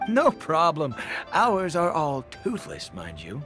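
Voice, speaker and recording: An actor playing a cheery, sly fish seller in a video game, with showy hawker's patter and music behind. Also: male voice, fairly high pitch, huge pitch swings, takes it slowly, a bit bright, heavily acted. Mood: jovial, sly. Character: cheery, sly amateur fishmonger man hawking seafood. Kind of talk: video game character acting.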